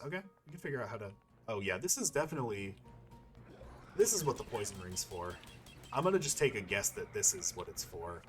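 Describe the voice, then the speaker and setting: Casual, rambling male gamer over game music. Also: mid-range voice. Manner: rambling, playful, profane, thinking aloud. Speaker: gaming YouTuber playing and commenting. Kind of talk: casual let's-play commentary.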